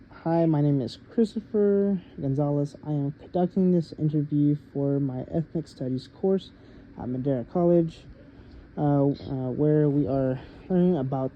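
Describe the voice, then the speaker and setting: Halting young male student interviewer. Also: fairly high pitch. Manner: halting, filler-heavy, nervous laughs. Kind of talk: casual recorded interview. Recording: muffled with light background noise.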